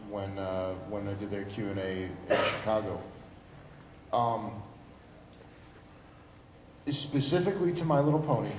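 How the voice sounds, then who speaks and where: Male audience questioner, medium pitch, deliberate phrasing, reverberant hall with faint noise. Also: a little mellow. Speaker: audience member asking a question. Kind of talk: convention Q&A question. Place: large indoor convention panel room.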